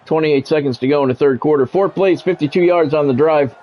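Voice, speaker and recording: A man calling live play-by-play of a football video game in measured, short clipped announcer phrases. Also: fairly high pitch, slight background noise. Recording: dull lo-fi audio with music behind.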